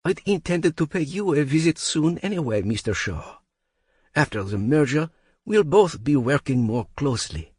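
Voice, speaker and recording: A man voicing a smug, drunk French billionaire with a thick, smooth, slurring French accent. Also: fairly high pitch, very animated, normal speed, a little mellow, no echo, pitched above the performer's own voice.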